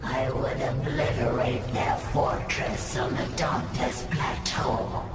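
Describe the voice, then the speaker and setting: A woman voicing a fierce alien insect commander in a game, formal, forceful and menacing. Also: a bass voice, fairly even intonation, takes it slowly. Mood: fierce, wary. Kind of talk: dramatic game cutscene acting. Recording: very echoey, distant, loud music behind.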